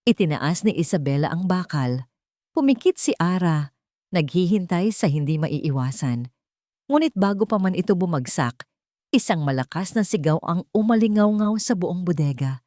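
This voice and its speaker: Low-voiced woman narrating dramatic romance. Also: animated, normal speed, dark tone, no room sound, clean studio sound. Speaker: story narrator.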